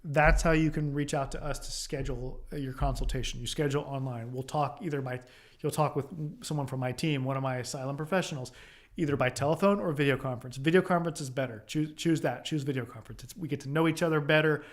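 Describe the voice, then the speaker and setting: A man's voice: medium pitch, steady tone, fast talker. An American man from Oklahoma giving casual, direct advice to live-stream viewers as a lawyer, reassuring and repeating phrases for emphasis. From Oklahoma, United States. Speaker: immigration lawyer hosting a live Q&A.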